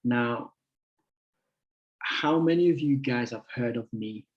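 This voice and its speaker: A man's voice: medium pitch, highs cut off. Chatty young man in his twenties. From United Kingdom. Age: 20s. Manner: friendly, chatty, halting with many fillers. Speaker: guest speaker, trader. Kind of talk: casual talk to an online group.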